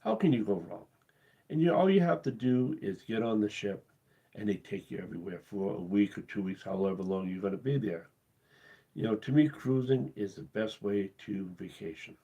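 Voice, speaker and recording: A man hosting his cruise channel live, losing his voice and talking in a halting, casual way with many pauses and restarts. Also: fairly low pitch, mellow. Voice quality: husky. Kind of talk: casual live-stream talk.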